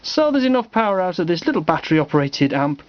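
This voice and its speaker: A British man giving a casual, halting hobbyist demonstration at home, explaining things offhandedly. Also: high voice, big pitch swings, very noisy. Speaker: hobbyist presenter. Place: small cluttered room at home. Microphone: handheld camera microphone. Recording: slightly muffled lo-fi camera audio.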